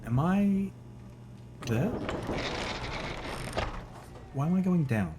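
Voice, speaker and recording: A man's voice: on the high side, big pitch swings, very slow, background noise. A male streamer giving casual gameplay commentary, thinking aloud and muttering to himself.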